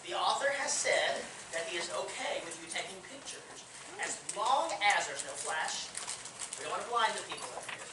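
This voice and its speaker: A woman's voice: on the low side, sing-song, normal speed, crowd noise. A woman giving an informal, jokey welcome speech to a theatre audience as its artistic director. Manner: chatty, jokey. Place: theatre stage before a live audience. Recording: echoey, noisy theatre hall from far off.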